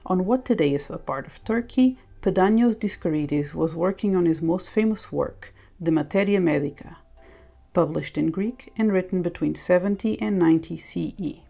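A woman narrates an educational script in a fairly low, mellow voice with a lot of vocal fry. She sounds like a documentary presenter. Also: normal speed. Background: music.

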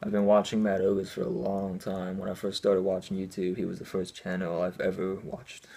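A man's voice: low-pitched, a little fast, creaky, slightly rough. A man in his twenties co-hosting a fitness channel, chatting casually and laughing often in a small room. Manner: relaxed, laughs often, reads out the questions. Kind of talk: casual chat. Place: small indoor room at home.

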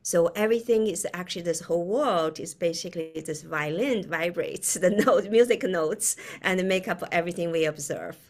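Low-pitched woman with a Chinese accent. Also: a little mellow. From China. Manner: halting, often chuckles while speaking. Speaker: guest, quantum physicist. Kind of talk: conversational interview explanation. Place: indoor room, video call.

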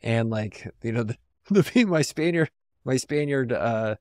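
A man's voice: medium pitch, lively intonation, unhurried, a little mellow, dry recording, studio quality. A man from San Antonio, a podcast guest talking reflectively in a casual, rambling way with lots of "like.". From San Antonio, Texas.

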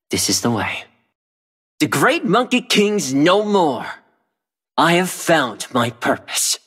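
An actor playing a cocky, restless immortal monkey king in a video game, with a husky voice and a brash, taunting, theatrical delivery. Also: mid-range voice, fairly bright tone, full character voice. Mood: brooding, proud. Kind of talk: video game hero voice lines.

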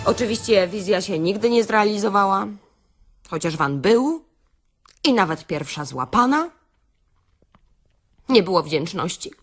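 A woman's voice: mid-range voice, lively intonation, unhurried, long pauses, soft background music. A woman playing a brash, sarcastic beautician in a satirical radio-play monologue, theatrical and full of rhetorical questions to her listeners. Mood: wry, scheming. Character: brash, sarcastic beautician delivering a comic self-help rant. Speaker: actress reading a comic monologue.

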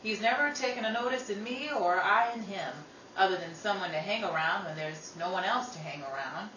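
A woman playing an outspoken, quick-tempered young working sister in an old-time radio style drama, brisk and dramatic. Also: mid-range voice, sharp tone, lightly acted. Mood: wry, chatty. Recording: roomy, distant mic, noisy.

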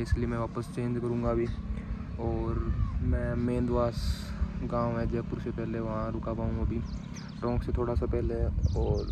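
A young man chatting casually to camera as a vlogger, halting and repeating himself as he works out what to say. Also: mid-range voice, muffled recording. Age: young adult. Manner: halting, repeats himself while working out figures. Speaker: motovlogger. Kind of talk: casual vlog chat to camera. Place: outdoors under a tree beside a highway. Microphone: phone at arm's length. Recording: loud outdoor roadside traffic noise.

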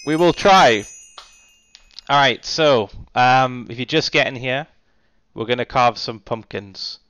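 A man in his thirties chatting casually to viewers during a live stream, animated and swearing freely, recorded in a home kitchen on a headset mic. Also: medium pitch, slow pace, clean tone, no room sound.

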